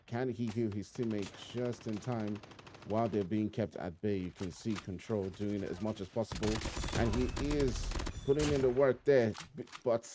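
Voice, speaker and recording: A man's voice: lowish voice, moderate pace, background noise. A man casting a live mobile shooter tournament, talking to viewers in long running phrases with chatty asides. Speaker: esports caster. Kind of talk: live play-by-play commentary. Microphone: home streaming mic. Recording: live stream with loud game gunfire behind. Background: game gunfire and clicks.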